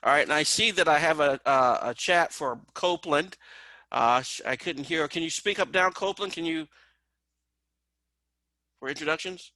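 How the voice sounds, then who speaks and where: A man's voice: a little high, normal speed, long pauses, no room sound. A man teaching a college course online, calling the roll and walking through the syllabus with frequent fillers. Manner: relaxed, many "uh" fillers, calling out names. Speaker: college instructor. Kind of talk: online class intro and syllabus walkthrough. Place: online video class.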